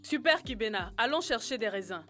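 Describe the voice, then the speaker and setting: A woman's voice: on the high side, lively intonation, fairly quick, fairly bright tone, faint music behind. A woman voicing an eager, upbeat child in a French-dubbed educational cartoon. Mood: cheerful.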